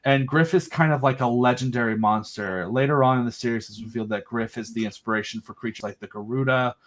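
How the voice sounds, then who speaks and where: A man's voice: mid-range voice, fast talker. A man as an interview guest, eagerly explaining his own creative project in casual, rambling chat. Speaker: guest, creator of an audio drama.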